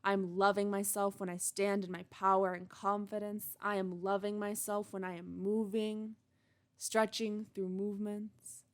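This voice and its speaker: A woman reading a personal, reflective monologue in an earnest, measured, introspective way. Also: medium pitch, flat delivery. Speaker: monologue writer and reader.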